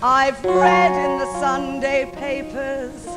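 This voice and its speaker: An eager, cheeky young pantomime boy hero with a very high voice. The lines come slowly and theatrically, as on a live stage, with loud music around them. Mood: romantic, singing. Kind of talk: pantomime stage acting and singing.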